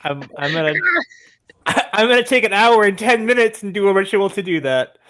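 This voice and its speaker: Mid-pitched player in casual game chat. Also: lively intonation, normal speed, a bit bright.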